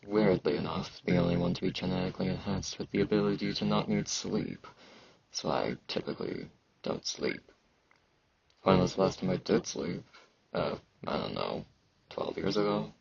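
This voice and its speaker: A man playing a weary, aloof immortal fantasy knight in an intimate ASMR roleplay, slow and brooding, with a gravelly, husky voice and sibilant S sounds. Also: low voice, muffled recording, slight background noise, heavily acted. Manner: slow, brooding, casual about grim things.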